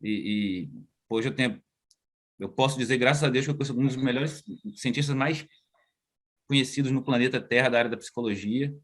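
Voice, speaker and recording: A man's voice: medium pitch, fairly quick, fairly bright tone. A Brazilian man in his thirties, a psychologist being interviewed over a video call, talking casually and filling his speech with slang and tag questions. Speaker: guest psychologist and researcher. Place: outdoors at home, moving inside.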